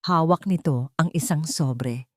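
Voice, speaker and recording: A woman's voice: low voice, unhurried, no room sound, studio quality. A woman narrating a scripted, dramatic romance story.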